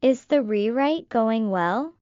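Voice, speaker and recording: A woman's voice: on the high side, lively intonation, slow, a little mellow, clean tone, no room sound, highs cut off. A woman playing an enigmatic, laconic young woman in an audiobook drama, detached and speaking in clipped phrases.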